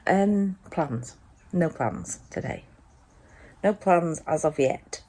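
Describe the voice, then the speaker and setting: A woman vlogging from a cold sunroom at home first thing in the morning, chatting in a rambling way with plenty of ums, her voice still croaky from sleep. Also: low-pitched, animated, slow, dark tone. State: croaky, just woken up. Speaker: vlogger, mother. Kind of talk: casual morning vlog chat to camera.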